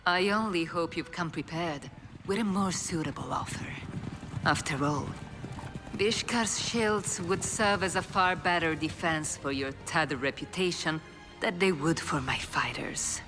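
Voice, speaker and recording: Woman playing an imperious, deep-voiced crime boss. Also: a little slow, music in the background, natural voice. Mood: proud scorn. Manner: imperious, cold, formal, threatening. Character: proud, ruthless, commanding woman crime boss. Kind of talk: video game cinematic voice acting.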